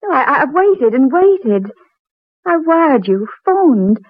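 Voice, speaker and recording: A woman's voice: high-pitched, very animated, slow pace, no room sound, heavily acted. Young woman in love, tender, earnest, emotional, 1940s radio melodrama, thin phone-like old recording. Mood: pleading.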